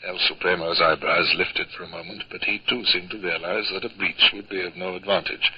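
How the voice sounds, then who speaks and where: A man's voice: low-pitched, steady tone. A man playing a formal, resolute naval captain who also narrates, in a 1950s radio drama. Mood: measured narrating. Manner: formal, measured, throat-clearing hesitations. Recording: dull, faintly noisy old radio recording.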